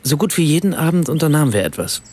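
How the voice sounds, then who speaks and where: A man playing a writer who narrates a radio play in a measured, precise way. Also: a little high, very animated, a little mellow, slightly rough. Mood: reflective, measured. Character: German writer narrating a remembered family evening in Rome.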